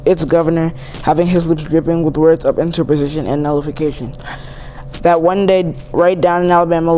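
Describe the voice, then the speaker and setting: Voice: mid-range voice, dark tone. A young teen student reading a famous speech aloud. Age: young teen, seventh grader. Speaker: student reader. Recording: noisy amateur recording.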